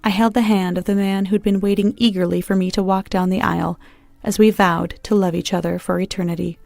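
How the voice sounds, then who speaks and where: A woman's voice: medium pitch, fairly even intonation, a little fast, vocal fry, darkish tone, no room sound, soft background music. A woman reading a scripted first-person story as its narrator.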